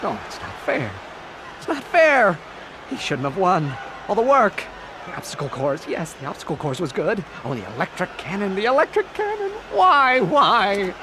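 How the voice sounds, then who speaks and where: A man's voice: sits high, wildly swooping pitch, moderate pace, exaggerated voice. An actor playing a vain, bitter showman villain in a video game, theatrical, grandiose and petulant. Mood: whining despair. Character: vain, bitter male showman villain, film effects wizard. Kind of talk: video game cutscene acting. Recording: noisy outdoor ambience, long echoing reverb.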